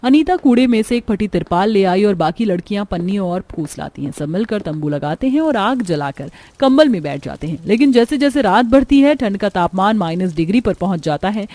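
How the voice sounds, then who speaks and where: A woman's voice: mid-range voice, expressive, a little fast, few pauses, warm tone, dry recording. A woman narrating a scripted cartoon story as its storyteller.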